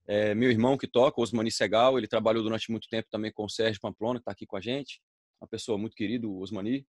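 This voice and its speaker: A man's voice: on the low side, steady tone, quick pace, dry recording. A Brazilian man introducing himself on a web seminar, talking casually and hesitantly with fillers. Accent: Brazilian Portuguese. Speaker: panelist. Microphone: video call.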